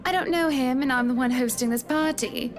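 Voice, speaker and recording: An actress playing a haughty, teasing married society hostess in a visual novel, speaking in an arch, genteel manner. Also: female voice, high-pitched, fairly quick, close to an everyday voice. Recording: music behind the voice.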